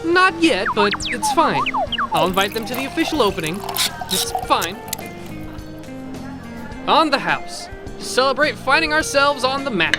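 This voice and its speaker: A man's voice: extremely high pitch, wildly swooping pitch, a little slow, long pauses, over music, exaggerated voice. A man playing a chatty, mischievous robot bartender and prankster in an audio drama, flippant and rambling. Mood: breezy, excited.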